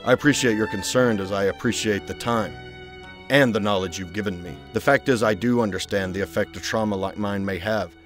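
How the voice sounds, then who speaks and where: A man voicing a brooding, haunted young gentleman heir in a motion comic, formal, solemn and deliberate. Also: fairly low pitch, faint music behind, close to an everyday voice. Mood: guarded, resolute. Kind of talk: dramatic motion-comic acting and diary narration.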